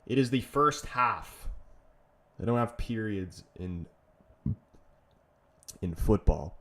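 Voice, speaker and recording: A man's voice: on the low side, animated, slow, a bit bright. A young man from Canada hosting a football watch-along stream, chatting with viewers and reacting to the match with frustrated, rambling bursts. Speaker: livestream watch-along host. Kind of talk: casual live-stream chat and match reaction.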